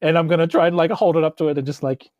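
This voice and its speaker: A woman's voice: deep voice, steady tone, rapid-fire, a little mellow, no room sound, lo-fi sound. A woman with a New Zealand accent chats casually as a player in a tabletop role-playing game. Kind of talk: casual improvised game chat.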